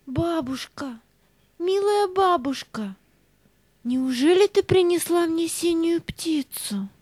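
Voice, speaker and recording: A woman's voice: very high voice, animated, takes it slowly, darkish tone, dry recording, exaggerated voice. A woman playing an eager, tender little girl in an audio fairy-tale play, wide-eyed and emphatic. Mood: yearning, excited.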